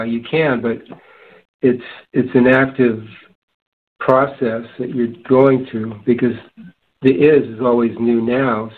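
A man in casual spiritual discussion, talking in a halting, searching way and often rephrasing himself. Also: medium pitch, narrow, tinny audio. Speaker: discussion panelist.

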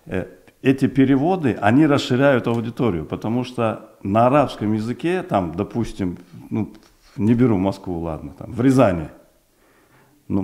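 A man in his forties, first language Uzbek, talks as a guest in a small television studio. His voice sits low and sounds dark and mellow. He speaks thoughtfully, pausing with fillers and restarting his phrases. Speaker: project developer guest. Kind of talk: studio interview. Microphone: desk mic on stand.

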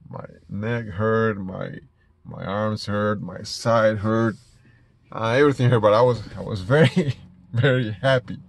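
A middle-aged man from Ecuador with an Ecuadorian Spanish accent, telling stories casually to camera in a halting way, repeating words as he goes. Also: on the low side, creaky. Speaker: small business owner vlogger. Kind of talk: casual personal storytelling to camera. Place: inside a parked car.

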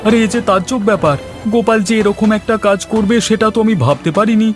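A man voicing a scheming, scolding, commanding rival hotel owner in an animated story. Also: high-pitched, fast, lightly acted. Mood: startled, suspicious. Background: faint background music.

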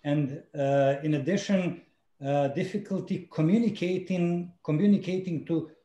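A middle-aged man on a video call in a home study, speaking in a measured, hesitant way. His voice is a little high and mellow, and the room sounds echoey. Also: highs cut off. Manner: measured, hesitant, many fillers. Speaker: surgeon panelist. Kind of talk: panel discussion over video call. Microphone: video call, computer mic.

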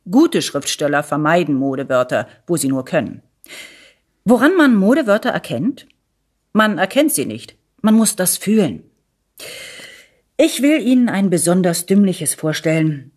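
A woman reading a satirical essay aloud in an expressive, deliberate and emphatic way with dry irony. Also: lowish voice. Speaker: reader of a literary text.

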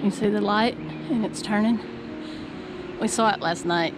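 A woman's voice: mid-range voice, moderate pace. A middle-aged woman vlogging casually outdoors on a trail with a handheld camera. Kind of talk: casual outdoor travel vlog chat. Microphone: handheld camera at arm's length. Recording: windy outdoor noise.

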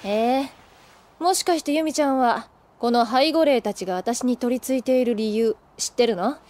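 A woman's voice: fairly high pitch, moderate pace, warm tone, close to an everyday voice. An actress playing an easygoing, playful older schoolgirl with a casual, teasing way of talking in an anime audio drama.

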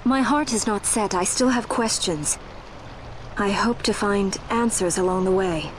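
A woman's voice: medium pitch, a little slow, noisy background, lightly acted. A young woman playing a proud, wounded warrior princess in a video game cutscene, with formal, guarded, regal speech over music. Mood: reserved. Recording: orchestral music behind the voice.

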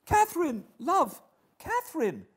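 A man voicing a soft, genteel young gentleman, injured and pleading, in an audiobook. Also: mid-range voice, wildly swooping pitch, takes it slowly, voice pushed up, full character voice. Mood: shocked, pleading. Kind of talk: audiobook character acting.

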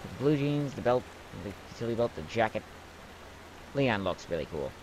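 Young male gamer, low voice that jumps around a lot, wry rambling asides, noisy home mic. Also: very animated, normal speed. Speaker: let's play commentator. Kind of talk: casual gameplay commentary.

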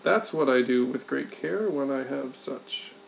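An adult storyteller voicing a confident little girl in a picture book, giving brisk matter-of-fact commands. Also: mid-range voice, expressive, gravelly, a little hiss or hum, low-quality recording. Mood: bossy.

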